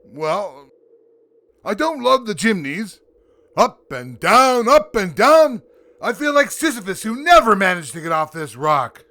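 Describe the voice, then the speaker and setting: Audio drama Santa: weary, jolly boss turned rebel, indignant and theatrical, highly animated delivery. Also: male voice, a little slow, no room sound, full character voice. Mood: indignant, defiant. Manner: theatrical, grumbling.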